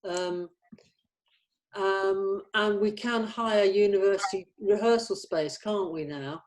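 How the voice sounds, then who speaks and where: A woman's voice: mid-range voice, slow pace, poor audio. A woman chatting informally in a meeting as she helps organise a group. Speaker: production organiser at a table read. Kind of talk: casual meeting discussion.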